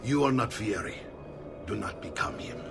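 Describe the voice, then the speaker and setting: A man's voice: lowish voice, expressive, moderate pace, gravelly. A man playing a commanding Italian mercenary leader and uncle in a game cutscene, speaking with an Italian accent. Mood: stern. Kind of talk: video game cutscene acting. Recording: music behind the voice.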